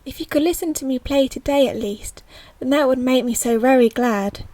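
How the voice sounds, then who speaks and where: A woman playing a sweet, gentle little girl witch in a children's audio play, with an earnest, sing-song rhyming delivery. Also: high-pitched, normal speed, dry recording, close to an everyday voice. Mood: pleading, sincere.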